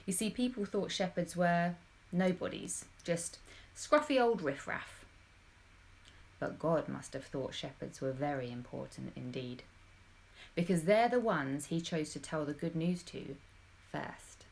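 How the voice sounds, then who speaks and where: A woman with a slightly low voice reads a storybook to children. She tells it expressively and with drama, addressing her young listeners directly. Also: measured pace, vocal fry. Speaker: storybook reader.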